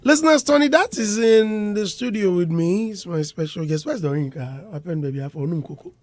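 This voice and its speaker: A man with a Ghanaian English accent hosting a radio show in the studio, asking questions in a deliberate, drawn-out way. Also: high-pitched, lively intonation, darkish tone, no echo. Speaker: radio host. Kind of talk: morning radio interview. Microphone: studio microphone.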